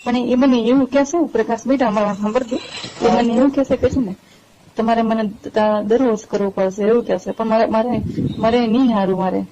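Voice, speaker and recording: A woman's voice: on the high side, steady tone, brisk, noisy background, lightly acted. A woman playing an anxious middle-aged married woman pleading with her young lover, hesitant and repetitive, in a staged phone-call drama. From India. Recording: dull lo-fi phone call recording.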